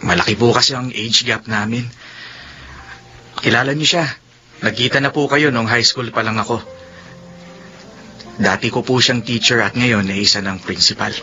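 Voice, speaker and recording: A man's voice: mid-range voice, normal speed, long pauses, pushed and bright, natural voice. A man playing an earnest young man in love in a radio drama, pleading and hesitant, stammering when nervous. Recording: dull lo-fi audio, faint music behind.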